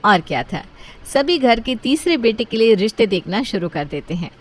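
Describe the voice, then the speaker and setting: High-voiced woman storyteller. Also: big pitch swings, moderate pace. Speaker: story narrator. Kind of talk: scripted storytelling narration.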